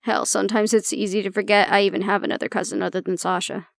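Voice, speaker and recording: A woman playing an anxious young woman with a tough front and a soft core, confiding casually and with swearing in an intimate audio roleplay. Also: mid-range voice, little pitch movement, quick pace, creaky, no echo, studio quality, natural voice. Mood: wry, guarded.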